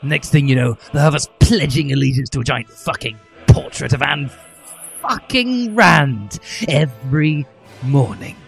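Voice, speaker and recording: A man voicing a furious, self-righteous teenage revolutionary who rants in an overwrought, shouty way in a comic story reading. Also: on the high side, lively intonation, unhurried, creaky, husky, voice pushed up, exaggerated voice. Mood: indignant. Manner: shouty, overwrought ranting with swearing. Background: music.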